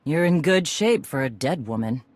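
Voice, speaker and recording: A woman's voice: low voice, lively intonation, normal speed, darkish tone, dry recording. A woman playing a blunt female mercenary chief in a video game, with a curt, wry, clipped delivery. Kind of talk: video game cutscene acting.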